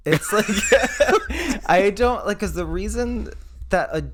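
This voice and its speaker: A man's voice: high-pitched, noisy background. A man co-hosting a casual comedy podcast who talks nonstop, swears freely and laughs often. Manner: talkative and profane, laughing often. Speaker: podcast co-host.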